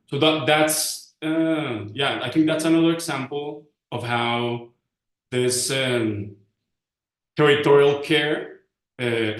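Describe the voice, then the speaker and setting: A man giving an informal presentation, pausing often as he searches for English words. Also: mid-range voice, a bit bright. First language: Spanish. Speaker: panelist presenting community research. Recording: roomy, echoing sound.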